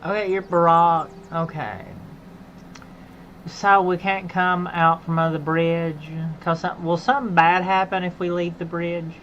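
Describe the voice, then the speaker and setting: A woman's voice: on the low side, level tone, unhurried, fairly bright tone, highs cut off, a little hiss or hum. Playful woman streaming a game. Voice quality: playful, jokey, teasing. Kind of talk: casual gameplay commentary.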